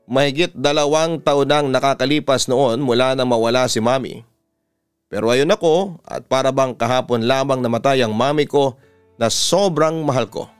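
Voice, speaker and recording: A man with a Filipino accent narrating a letter as a radio-style story host, measured and sentimental. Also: mid-range voice, smooth, dry recording. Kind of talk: dramatic narration of a listener's letter. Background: instrumental music.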